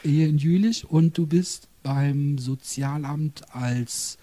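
A man's voice: fairly high pitch, takes it slowly, warm tone. A man hosting a podcast interview in a guest's apartment, chatty and rambling, with jokes along the way. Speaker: podcast host.

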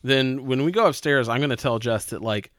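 A man talks through a tabletop game in a casual way, thinking out loud and asking questions. His pitch is average and the recording is close and dry. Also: a little fast, no room sound. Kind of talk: casual improvised tabletop role-playing chat.

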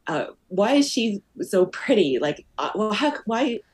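A woman's voice: medium pitch, big pitch swings, unhurried, a little mellow, husky. A woman around her forties with a muddled Australian accent, talking reflectively about herself as an interview guest, often saying "like" and "you know". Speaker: podcast guest, company founder. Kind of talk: personal, reflective interview talk.

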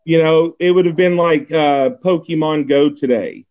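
Forties, Texan man, casual podcast host, rambling delivery, slightly high voice, phone-quality audio. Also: fairly even intonation, normal speed. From Texas. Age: 40s. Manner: rambling, many fillers and restarts. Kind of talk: casual chat. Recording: tinny phone-like line.

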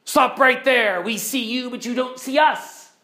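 A foolish, blustering creature from a storybook, put on by a man telling the tale. The voice sits high and pushes out its demands with emphasis. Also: expressive, normal speed, fairly bright tone. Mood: blustering, demanding.

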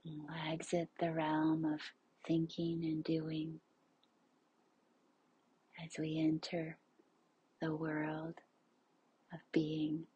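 A woman leading a guided relaxation as a yoga nidra instructor, soothing and unhurried, in a hushed, breathy voice that is often near a whisper. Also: sits low, completely flat delivery, dull audio. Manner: soothing, unhurried, spacious pauses.

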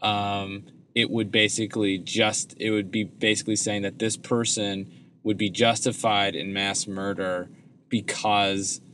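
A man co-hosting a podcast in casual chat, chatty and quick with jokes. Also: lowish voice, level tone, a bit bright, a little hiss or hum. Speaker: podcast co-host.

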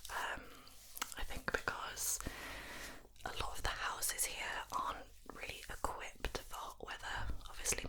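A woman chatting in a soft ASMR whisper while doing her makeup, slightly nasal from hay fever, with many relaxed "um"s. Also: low-quality recording. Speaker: beauty YouTuber. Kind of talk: whispered ASMR get-ready-with-me chat.

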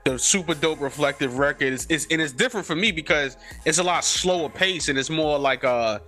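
A man hosting an interview and chatting with a fellow musician, excitable and animated, exclaiming often. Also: fairly high pitch, brisk, faint music behind. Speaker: interview host, fellow rapper. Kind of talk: casual interview chat.

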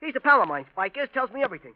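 An actor playing a talkative young city newsboy in an old radio drama, chattering confidingly. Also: male voice, very high voice, very animated, very fast. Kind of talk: 1940s radio drama acting. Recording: dull old radio recording.

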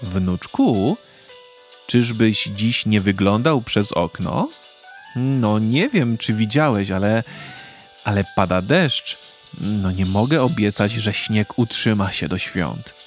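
Man doing a gentle, loving grandmother. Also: medium pitch, sing-song, slow, soft background music. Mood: tender. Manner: soft and tender.

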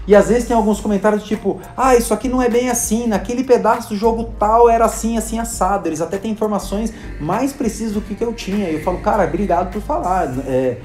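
A man's voice: high-pitched, a little mellow, echoey room. A Brazilian man, a video creator and hospital clown, telling stories in a casual chat with many fillers and acted-out quotes. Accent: Brazilian Portuguese. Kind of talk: casual conversational storytelling.

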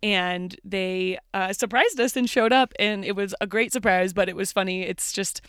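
A woman's voice: medium pitch, moderate pace, a bit bright, clean tone, no room sound, clean studio sound. Candid laughing woman podcaster. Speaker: podcast co-host. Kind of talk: casual chat.

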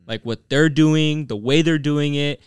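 A man's voice: a little high, measured pace, clear, resonant tone, dry recording, clean studio sound. A man hosting a podcast, asking eager, rambling questions full of fillers. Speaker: podcast host. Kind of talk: casual podcast interview.

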